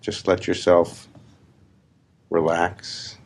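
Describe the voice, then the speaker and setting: A man leading a guided meditation as a spiritual teacher, speaking in deliberate, emphatic, repeated phrases with long pauses. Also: low-pitched, flat delivery, darkish tone. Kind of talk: slow guided meditation talk. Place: live gathering.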